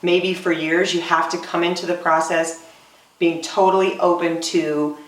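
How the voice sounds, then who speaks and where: A woman in her forties, a singer, talking earnestly in a casual interview with many pauses and "um"s, recorded roomy from off-mic. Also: on the low side, little pitch movement, far from the mic. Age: 40s. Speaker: singer and voice student.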